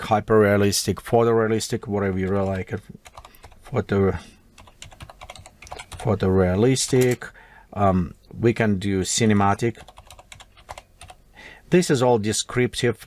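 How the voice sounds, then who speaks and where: A man with a Russian accent walks through a software tutorial at his desk, thinking aloud as he types, his English broken and non-native. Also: fairly low pitch. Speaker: tutorial host. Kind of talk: screen-recorded software tutorial.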